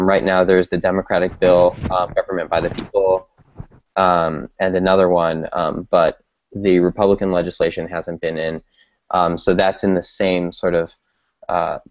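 Young guy organizer, really low voice, lots of ums, dull video call audio. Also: darkish tone, dry recording. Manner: hesitant, many ums. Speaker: campaign organizer. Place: room at home. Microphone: earphone headset on video call.

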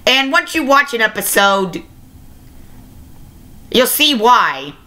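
A young adult giving a casual video review to camera, halting and often self-correcting, recorded on a webcam mic in a small room. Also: medium pitch, very animated, pushed and bright. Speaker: review show host. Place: small plain-walled room indoors. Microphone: laptop webcam microphone at arm's length.

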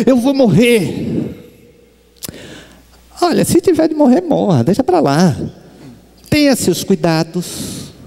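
A middle-aged man from Brazil giving a spiritist lecture, telling stories with lively gestures and acting out the voices he quotes. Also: fairly high pitch. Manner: animated storytelling, acts out quoted voices, gestures broadly. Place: stage with sunflower backdrop, large hall. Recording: reverberant hall.

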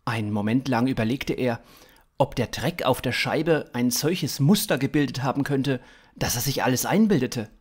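A man's voice: medium pitch, normal speed, slightly rough. A man in his thirties reading scripted science fiction narration for a story podcast. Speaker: author-narrator.

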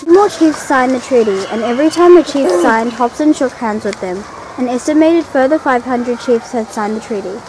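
A woman's voice: high-pitched, animated, moderate pace, noisy background. A young teenage girl narrating a school history video in a plain, recited way. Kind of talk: read-aloud school narration.

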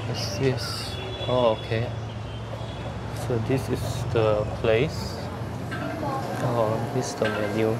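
A man's voice: medium pitch, little pitch movement, slow pace, long pauses. A man vlogging casually with a handheld camera, talking loosely with many fillers, first in a hotel room and then out on a city street. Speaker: travel vlogger. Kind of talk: casual walking vlog. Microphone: handheld camera on small tripod. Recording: loud street and room noise.

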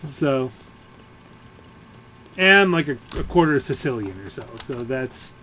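A man giving casual, rambling gameplay commentary, halting as he thinks aloud. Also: mid-range voice, big pitch swings, pushed and bright. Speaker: gaming video creator.

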